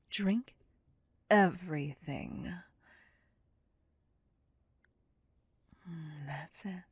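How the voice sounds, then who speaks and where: A woman playing a possessive, cocky vampire captor in an ASMR roleplay, with a breathy, husky, teasing and domineering whisper. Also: fairly low pitch, no room sound, exaggerated voice. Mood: seductive, commanding. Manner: slow, teasing, seductive, domineering. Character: possessive, cocky, sadistic female vampire captor, playfully menacing. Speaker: voice actor performing audio roleplay.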